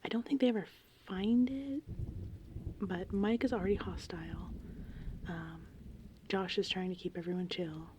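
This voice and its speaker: Whispering woman, soft mellow ASMR voice. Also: on the low side, fairly even intonation, moderate pace, long pauses. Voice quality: breathy whisper and hushed soft speech. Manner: informal, rambling, conversational retelling. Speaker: ASMR host. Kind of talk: ASMR, whispered rambling film recap. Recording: faint background noise, rain and thunder ambience. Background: gentle rain and thunderstorm ambience.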